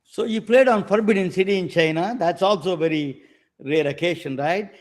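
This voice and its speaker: Halting man, slightly high voice. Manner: halting, searching for words. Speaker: interview co-host.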